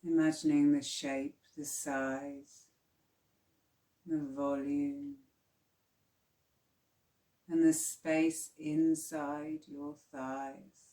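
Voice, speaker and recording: Slow, low, mellow woman guiding relaxation. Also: reverberant. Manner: calm, soothing, hushed delivery with long pauses. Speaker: yoga nidra teacher. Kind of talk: slow guided relaxation meditation.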